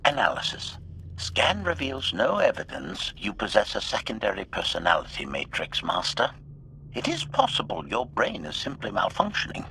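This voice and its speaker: Analytical male droid, video game. Low, gravelly, formal, literal, with faint hum. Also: huge pitch swings, moderate pace, bright, forceful tone, exaggerated voice. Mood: clinical. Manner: formal, clipped.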